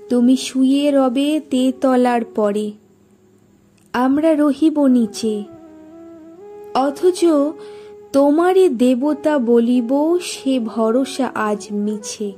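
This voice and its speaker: A woman giving a dramatic, declamatory poetry recitation with deliberate pauses. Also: sits high, mellow. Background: faint music.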